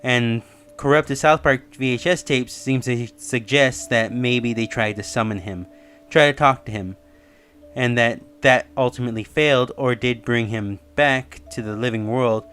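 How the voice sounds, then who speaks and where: A man's voice: mid-range voice, unhurried, a bit bright. A man giving casual, rambling explanatory commentary as the host of a theory video. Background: music under the voice.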